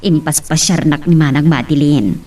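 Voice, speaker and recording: A woman playing a polite, respectful daughter with hesitant pauses in an Ilocano radio drama. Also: low-pitched, fairly even intonation, dark tone. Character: polite, respectful grown daughter talking to her father. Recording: slightly distant mic with music underneath.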